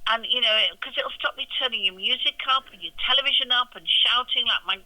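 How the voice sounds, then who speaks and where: An older woman, an actress and interview guest, who talks in a loud, effusive and exclaiming way. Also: a little high, fast talker, rough recording. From England. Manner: effusive and gushing, exclaims often. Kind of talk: casual interview chat.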